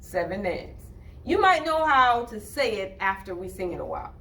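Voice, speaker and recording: A woman hosting a children's storytime, reading and talking to young listeners in an expressive, singsong way. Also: mid-range voice. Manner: expressive, drawn-out, singsong delivery addressed to children. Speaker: children's storytime reader and host. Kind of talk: storytime read-aloud and singing for young children.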